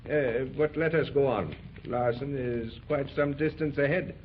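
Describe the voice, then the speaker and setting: A man's voice: mid-range voice, slight background noise, lightly acted. An actor playing a composed, stiffly formal male expedition leader in a 1930s radio serial, on a dull old recording. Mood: measured. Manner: formal, measured.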